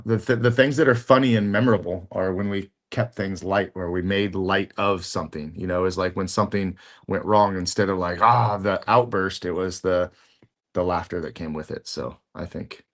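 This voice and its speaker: A middle-aged man co-hosting a podcast in casual chat, talking in halting bits with lots of fillers and restarts. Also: deep voice. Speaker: podcast co-host.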